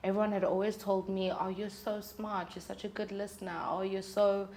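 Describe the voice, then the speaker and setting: A woman's voice: mid-range voice, a little fast. A young woman with a South African accent, chatting casually and expressively as an interview guest about her own life. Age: young adult. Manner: expressive, animated storytelling. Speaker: interview guest, singer-songwriter.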